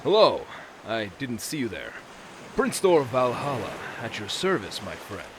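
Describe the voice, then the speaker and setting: A man acts out a proud Viking god prince for a children's story show. His delivery swoops widely, grandiose and mock-stern yet genial, at a medium male pitch, with clear rushing noise behind him. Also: very animated. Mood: courtly, friendly. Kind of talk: theatrical children's character acting.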